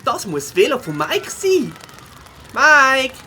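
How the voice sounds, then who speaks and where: A woman's voice: sits high, very animated, slow, sharp tone, slight background noise, exaggerated voice. A woman voicing a cheerful, friendly schoolboy beaver in Swiss German for a children's audio play. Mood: excited.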